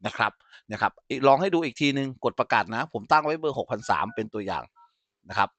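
A man giving an informal software tutorial as an instructor, explaining step by step and often checking that listeners follow. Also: medium pitch, lively intonation, quick pace.

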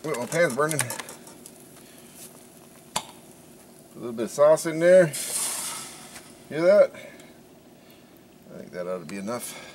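A man's voice: a little high, big pitch swings, unhurried, long pauses. Folksy middle-aged man, noisy outdoor recording. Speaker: outdoor cooking vlogger. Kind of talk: casual cooking demonstration. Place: outdoors in a wooded backyard. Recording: outdoor camcorder with clear clattering background noise. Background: outdoor ambience, pots and cutlery clatter.

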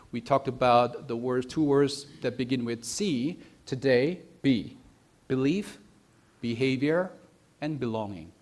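A young man preaching a sermon, speaking deliberately in short phrases with emphatic stresses. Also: medium pitch, a little mellow. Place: church sanctuary.

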